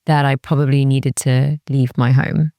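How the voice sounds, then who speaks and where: Candid woman from Wales, creaky low-key delivery. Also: flat delivery, moderate pace, dark tone, dry recording, studio quality. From Cardiff, Wales. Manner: candid, serious, peppered with "like" and quoted inner thoughts. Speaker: interview guest, music industry executive. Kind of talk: reflective personal interview conversation.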